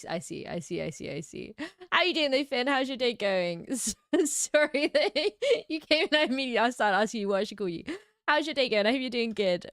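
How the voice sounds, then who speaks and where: British woman, streamer, chatty and giggly, bouncy pitch, fairly high voice, dry close mic. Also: a bit bright, no echo. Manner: giggly, chatty, reads comments aloud. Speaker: livestream host. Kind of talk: casual chat reacting to live comments.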